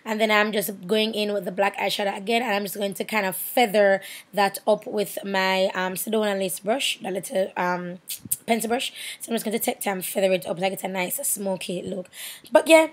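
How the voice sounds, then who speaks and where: A hoarse young woman with a Jamaican accent, rambling casually with many fillers in a makeup tutorial voiceover. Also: mid-range voice, steady tone, moderate pace. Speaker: makeup artist.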